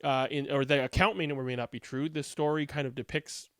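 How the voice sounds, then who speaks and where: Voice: mid-range voice, steady tone, fairly quick, fairly bright tone, dry recording, studio quality. A reader giving a theatrical, emphatic read-aloud of a storybook. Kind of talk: animated read-aloud narration.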